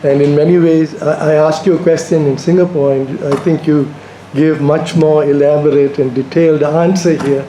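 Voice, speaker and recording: A man's voice: fairly high pitch, fairly even intonation, moderate pace, mellow. A man in a lecture audience putting a polite, hesitant academic question in spontaneous speech. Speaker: audience member asking a question. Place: lecture room at a university. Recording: roomy echo, faint room noise.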